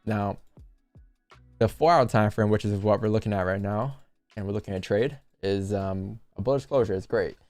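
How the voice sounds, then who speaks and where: A man's voice: on the low side, normal speed, a little mellow, faint music behind. A young man hosting a live trading stream, talking casually and jokingly straight to his chat while he walks through charts. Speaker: livestream trading host.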